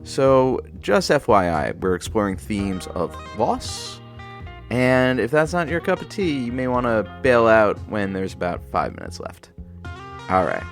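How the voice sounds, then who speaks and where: An actor playing an easygoing radio sidekick, casually giving listeners an advisory. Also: male voice, medium pitch, big pitch swings, a little slow. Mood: gentle, casual. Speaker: show announcer. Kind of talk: casual in-character content advisory. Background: plucked guitar music.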